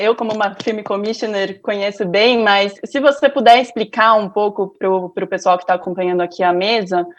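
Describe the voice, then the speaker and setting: A woman moderating a panel over a video call, friendly, with many hesitant starts and fillers. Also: medium pitch.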